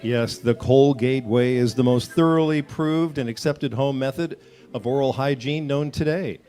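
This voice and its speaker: A man's voice: mid-range voice, normal speed, mellow, soft background music. Man announcing a vintage radio commercial. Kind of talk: scripted 1940s radio advertisement read.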